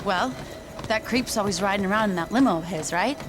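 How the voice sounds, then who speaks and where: A woman's voice: medium pitch, a little fast, natural voice. A woman playing a sarcastic, pushy young female gang member in a video game. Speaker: gang lieutenant. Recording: game audio with background noise.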